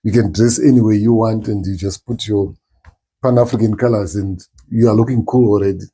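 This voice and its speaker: A middle-aged man with a strong Zimbabwean accent, answering interview questions thoughtfully with many pauses and restarts. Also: on the low side, steady tone, mellow, slightly rough. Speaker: interview guest, musician. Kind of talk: relaxed interview talk.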